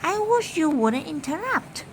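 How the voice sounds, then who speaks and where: A woman's voice: sits high, sing-song, a little slow, slight background noise, voice pushed up. A woman voicing a bratty, irritable nine-year-old boy in a children's storybook reading, snapping and exclaiming. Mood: annoyed, excited.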